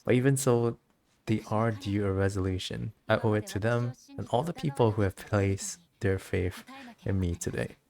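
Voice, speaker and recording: A man's voice: on the low side, normal speed, vocal fry, a little mellow. A man reading the part of a solemn, dutiful immortal female ruler in a game, formal and earnest. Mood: solemn, regretful.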